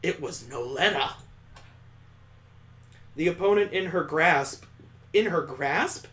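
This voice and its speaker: Male let's-play host, lightish higher pitch, dramatic story reading with incredulous joking asides. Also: lively intonation, slow pace, a bit bright. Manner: dramatic narration broken by amused, incredulous commentary. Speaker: gaming channel host doing a let's play. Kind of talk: reading visual novel text aloud with joking asides.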